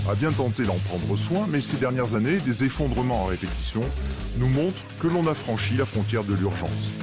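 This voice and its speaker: A man narrates a scripted news documentary. His voice is of average pitch for a man. Music plays clearly behind him throughout. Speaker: report narrator.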